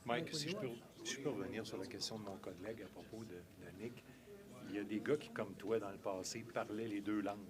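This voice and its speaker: A man's voice: fairly low pitch, a little fast, a crowd in the background. A male reporter asking a long, winding question with restarts.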